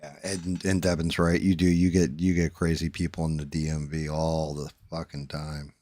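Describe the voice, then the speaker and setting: Man, very low voice, dry sound, blunt, profane, casual livestream chat. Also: flat delivery, normal speed, darkish tone, no echo. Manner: blunt, swears freely, restarts sentences. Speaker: livestream host. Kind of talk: casual chat while 3D printing and painting.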